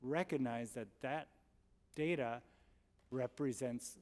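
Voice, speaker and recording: A man giving formal, earnest testimony to a public board, speaking in measured, emphatic terms. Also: medium pitch, in a large hall. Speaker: college district presenter. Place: large public meeting hall.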